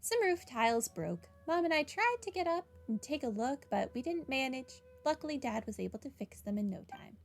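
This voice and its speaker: Higher-voiced woman with some vocal fry. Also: very animated, moderate pace, hardly any pauses. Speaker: game streamer. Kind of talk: casual playthrough commentary and reading game text aloud.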